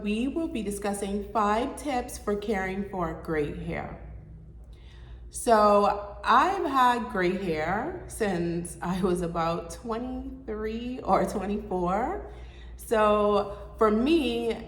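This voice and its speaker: A young adult woman hosting her own vlog, sounding nasal from a cold, chatting casually as she explains hair care step by step. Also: mid-range voice. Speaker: show host. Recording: echoey room with long reverb.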